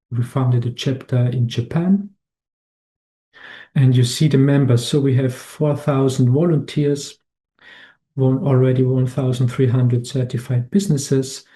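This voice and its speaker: Halting Austrian-born man giving a webinar. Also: mid-range voice, warm tone. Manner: halting, many restarts and fillers. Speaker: webinar presenter. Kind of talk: slide presentation talk.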